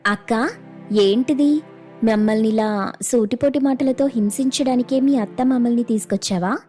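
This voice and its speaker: A woman's voice: on the high side, moderate pace, mellow, soft background music, natural voice. A woman voicing an irritated, outspoken young daughter-in-law in a family story, blunt and indignant.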